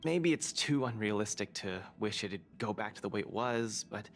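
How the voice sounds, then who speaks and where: A man's voice: fairly low pitch, expressive, moderate pace. A man voicing an earnest, friendly young fighter in a video game, full of wide-eyed wonder. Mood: wistful, friendly. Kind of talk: video game voice acting, conversational dialogue. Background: music.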